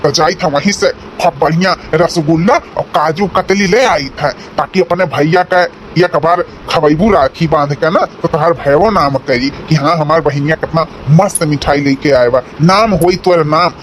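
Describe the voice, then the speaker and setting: A man's voice: a little high, normal speed, few pauses, a little hiss or hum, close to an everyday voice. A man voicing a wheedling, placating village husband in a cartoon family comedy, with an Awadhi accent. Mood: coaxing. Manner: rustic, placating, explanatory. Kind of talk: rural cartoon comedy dialogue.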